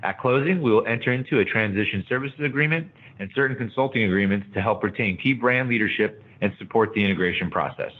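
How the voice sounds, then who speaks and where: Over a narrow, phone-like line, a male executive reads prepared financial remarks. His voice is fairly low and his delivery formal and measured. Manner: formal, measured corporate reading. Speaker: company executive presenting financials. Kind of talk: scripted earnings call remarks.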